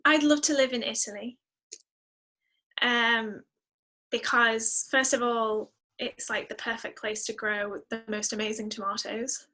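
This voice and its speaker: A woman's voice: on the high side, fairly even intonation, a little slow, bright, forceful tone. A woman talking casually as an interview guest, reflective and enthusiastic as she thinks through her answers.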